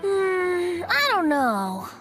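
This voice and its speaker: A woman's voice: very high voice, big pitch swings, drawn-out words, exaggerated voice. A woman voicing a whimsical, teasing young male bard in an anime-style video game, playful, theatrical and poetic. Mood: hesitant, musing. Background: game music.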